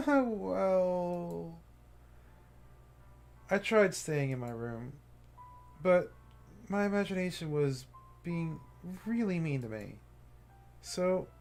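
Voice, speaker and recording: A man's voice: expressive, slow pace, creaky, long pauses, darkish tone, full character voice. A man voicing a cheerful, clingy teenage girl from a visual novel, in a raised girlish voice that turns tearful. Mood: wistful. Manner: high girlish voice, breaking into tearful pleading. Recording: faint game music behind.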